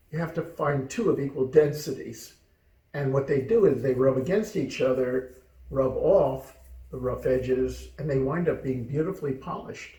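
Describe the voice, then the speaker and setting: A man's voice: on the high side, darkish tone. A man from the United States, co-hosting a casual chat show, joking and telling stories in a reflective way. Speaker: co-host. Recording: roomy sound, distant mic.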